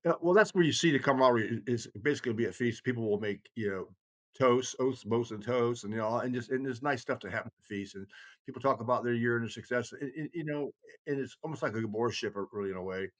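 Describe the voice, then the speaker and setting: A man's voice: medium pitch, quick pace. An older man in casual podcast conversation, rambling but emphatic, with blunt, salty language. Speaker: kindred chieftain.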